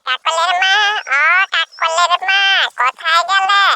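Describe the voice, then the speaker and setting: A woman's voice: very high-pitched, unhurried, vocal fry, pushed and bright, dry recording, full character voice. A woman voicing a curious village wife in a cartoon, pressing with questions. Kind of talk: cartoon voice acting.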